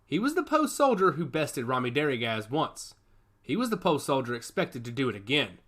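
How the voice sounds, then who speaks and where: A man's voice: medium pitch, sing-song, moderate pace, fairly bright tone. A man reading scripted fiction as a narrator in a dramatic storytelling style. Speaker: audiobook narrator.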